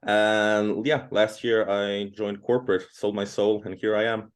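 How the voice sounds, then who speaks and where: A man's voice: lowish voice, level tone, unhurried. A man from Croatia in his thirties, a guest in an interview, talking casually and at length with many fillers. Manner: chatty, rambling, frequent fillers.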